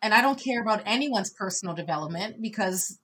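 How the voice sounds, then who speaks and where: A woman in her thirties speaking as a podcast guest in casual conversation, expressive and shifting between soft reflection and loud laughing energy. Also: mid-range voice, fast, slight background noise. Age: 30s.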